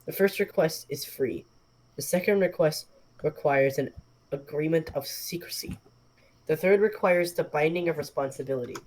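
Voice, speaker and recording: A man voicing a calm, wise male snake that talks slowly and matter-of-factly. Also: fairly high pitch, natural voice, low-quality recording. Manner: slow, measured, matter-of-fact.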